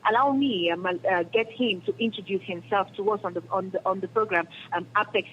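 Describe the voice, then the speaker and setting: A woman's voice: medium pitch, fairly quick. A woman radio reporter with a Cameroonian accent, introducing an interview in a hesitant, repeating way. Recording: muffled noisy remote phone-in line.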